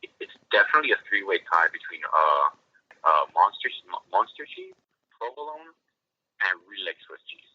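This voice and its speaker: A man in his twenties from northern Spain, an excitable podcast guest who says "like" constantly. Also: low voice, moderate pace. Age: 20s. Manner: excitable, repetitive, heavy use of "like". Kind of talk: casual chat. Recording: dull lo-fi recording.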